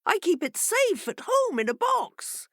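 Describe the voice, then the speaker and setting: A woman's voice: very high voice, sing-song, measured pace, a bit bright, dry recording, pitched above the performer's own voice, full character voice. A woman voicing a proud, cunning male fox, raised above her own voice. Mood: sly. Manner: haughty, then sly.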